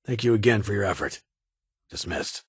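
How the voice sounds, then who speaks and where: An actor playing a stern, weary security chief in a video game, with a husky voice and a formal, clipped, authoritative manner. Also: male voice, fairly low pitch, moderate pace, no room sound, studio quality. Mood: formal, businesslike. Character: stern, weary male vault security chief, guarded but honorable.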